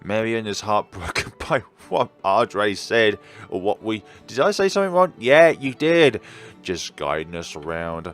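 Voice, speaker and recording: A man's voice: fairly low pitch, very animated, normal speed, a bit bright, faint music behind. A man giving casual let's-play commentary on a game, laughing often and teasing the characters. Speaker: gameplay commentator.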